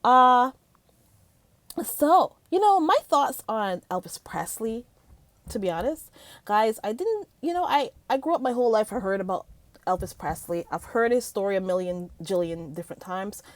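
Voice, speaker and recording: A middle-aged woman from Barbados, chatting excitedly in a movie review vlog, laughing often. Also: on the high side, normal speed, no room sound. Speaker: vlogger. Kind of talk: casual movie review chat.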